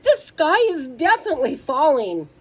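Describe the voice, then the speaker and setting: Woman acting out a frantic high-pitched little hen. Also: very animated, normal speed. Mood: alarmed, insistent. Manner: urgent, insistent, exclaiming.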